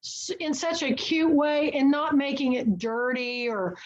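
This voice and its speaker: A woman's voice: high voice, unhurried, dull audio. A woman hosting an online book and film club, chatting eagerly and telling stories. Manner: chatty, enthusiastic storyteller. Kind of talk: casual book and film chat. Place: online video call.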